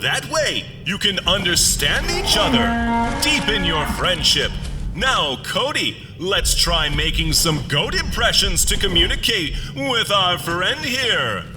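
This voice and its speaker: A demented creature hosts a children's radio show with exaggerated cheer. The voice is high and sing-song, with slow, wildly varied delivery. It rings with long reverb. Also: male voice, very animated, heavily acted. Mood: cheery hosting. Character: alien monster playing a cheery, demented children's show host. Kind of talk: fiction podcast acting, parody kids' radio show. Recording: long reverb, loud music behind.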